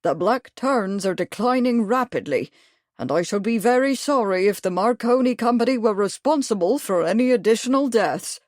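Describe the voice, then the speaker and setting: A woman's voice: fairly high pitch, animated, moderate pace, a little mellow, dry recording, clean studio sound, voice pushed up, lightly acted. A woman doing a pompous old retired major. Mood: mournful. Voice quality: pompous, fussy, long-winded. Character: fussy older male retired major, pompous.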